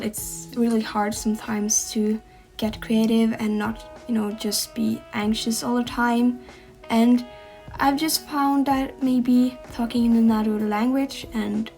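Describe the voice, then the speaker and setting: A teenage girl from Norway talks in English with a Norwegian accent, her voice pitched a little high. She rambles casually and hesitantly, full of ums. Faint music plays underneath. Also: a little mellow. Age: teens. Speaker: art channel host. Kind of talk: casual chat to camera.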